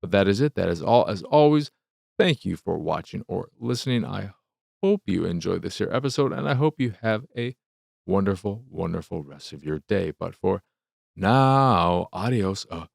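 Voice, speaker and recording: Low, gravelly-voiced man reviewing games casually. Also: lively intonation, normal speed, dry recording, clean studio sound. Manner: rambling, repeats phrases for emphasis, swears casually. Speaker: host of a video game review show. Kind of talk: casual unscripted game review commentary.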